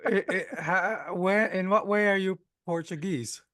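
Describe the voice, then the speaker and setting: A man's voice: sits high, measured pace, fairly bright tone, dry recording, clean studio sound. A man co-hosting a comedy podcast, explaining things in an easygoing, stammering way. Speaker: podcast co-host. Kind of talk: casual comedic chat.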